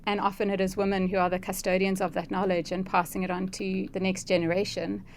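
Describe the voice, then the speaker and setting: South african lady guest in an interview, earnest, reflective, pretty even voice. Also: mid-range voice, fast talker, a little hiss or hum. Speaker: interview guest, conservation ecologist.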